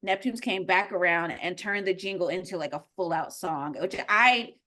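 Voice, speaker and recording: A woman's voice: fairly low pitch, moderate pace, sharp tone. A woman whose first language is Spanish, co-hosting a podcast in casual chat, talking with many ums and likes. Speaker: podcast co-host.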